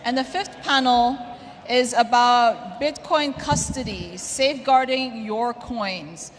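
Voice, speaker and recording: Woman mc calling people on stage, high voice, echoey hall. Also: slow pace. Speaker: conference host calling panels on stage. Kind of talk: casual stage announcements. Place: large conference hall on stage. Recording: echoey hall, noticeable background noise.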